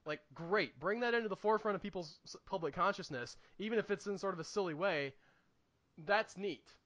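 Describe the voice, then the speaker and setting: Bright, high-pitched man chatting casually. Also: fairly quick. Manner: wry, opinionated, mildly sweary. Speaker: podcast co-host reviewing movies. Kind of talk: casual chat.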